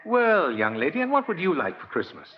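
Jolly old Santa, wildly animated. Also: male voice, on the high side, brisk, soft background music. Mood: kindly, playful. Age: older. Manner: courtly, chatty and earnest. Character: jolly, kindly old man claiming to be Santa Claus. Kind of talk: 1940s radio acting. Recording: muffled vintage 1940s radio recording.

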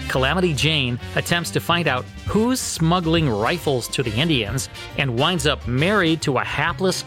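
A man with an American accent hosting and reading scripted documentary narration in a measured, informative way. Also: fairly high pitch, fairly bright tone. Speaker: podcast host. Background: guitar music.

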